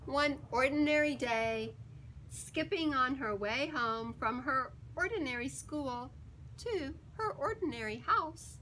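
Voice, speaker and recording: A woman reading a children's picture book aloud in an expressive, theatrical way, with emphatic words and dramatic pauses. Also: sits high, slight background noise, low-quality recording.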